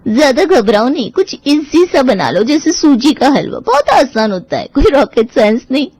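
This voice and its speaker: Woman acting neighbour lady, chatty, reassuring, breezy, higher voice, family story, dry recording. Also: very animated, normal speed, a little mellow, no room sound.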